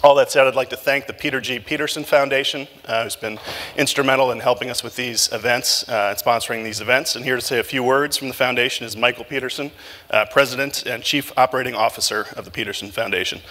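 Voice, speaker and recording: A man moderating a live breakfast event, talking loosely with many filler pauses as he asks his guests questions. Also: mid-range voice, fairly even intonation, sharp tone, in a large hall. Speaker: moderator and journalist. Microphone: handheld mic.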